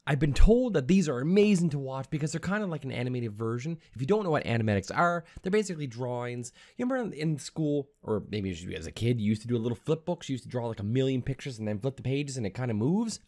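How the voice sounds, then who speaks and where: A man's voice: mid-range voice, quick pace, few pauses. A man in his thirties chatting casually and upbeat to viewers as a reaction video host in a home studio. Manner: animated, upbeat. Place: small home studio room.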